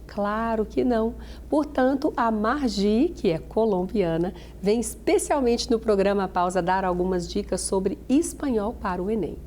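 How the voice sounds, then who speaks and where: A woman's voice: medium pitch, unhurried, hardly any pauses, warm tone, slight background noise. A woman hosting an educational program, introducing guests and segments in upbeat announcer style. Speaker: program host. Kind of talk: presenter links.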